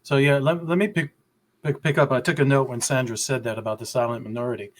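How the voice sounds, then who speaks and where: A man's voice: mid-range voice, fairly even intonation, quick pace. Casual man moderating a panel. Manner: stumbling, casual questions.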